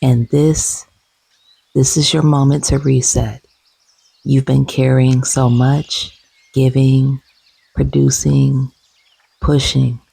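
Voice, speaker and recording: A woman leading a guided meditation gently and soothingly, with soft, breathy, husky tones and long unhurried pauses. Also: rumbling low, little pitch movement, low-quality recording.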